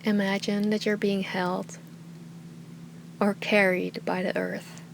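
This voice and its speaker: A woman teaching meditation with a calm, soothing, unhurried delivery that often trails into breathy softness. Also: medium pitch, a little hiss or hum. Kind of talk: guided meditation, soft slow instruction.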